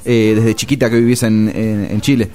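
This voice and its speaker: A man's voice: on the low side, level tone, normal speed, mellow, faint music behind. A man with a Rioplatense accent interviewing a musician, talking casually with many fillers. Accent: Rioplatense Spanish. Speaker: interviewer, host.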